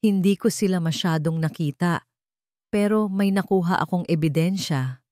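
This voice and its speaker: A woman's voice: lowish voice, normal speed, a little mellow, no room sound, clean studio sound, natural voice. A woman voicing a determined young female bodyguard in an audio drama, wary and urgent in her questioning. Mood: uneasy.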